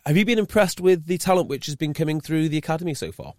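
A man's voice: quick pace, no echo, studio quality. Chatty young man from North Wales. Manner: chatty, stumbles and restarts, lively rises in pitch. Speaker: podcast host. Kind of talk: casual interview chat.

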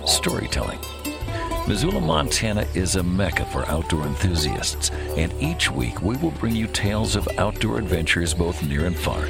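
A man reading a scripted radio show intro in a measured announcer style. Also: fairly low pitch, creaky. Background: music.

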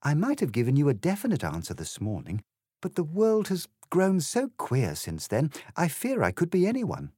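Fairy-tale girl played by a male reader: polite, bewildered, melancholy, lifted pitch, lively intonation. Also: moderate pace, husky, no room sound, clean studio sound, exaggerated voice.

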